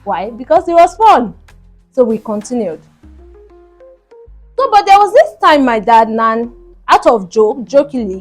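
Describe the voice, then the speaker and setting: A woman hosting a story show, telling a first-person tale in an emphatic, dramatic way with repeated phrases. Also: a little high.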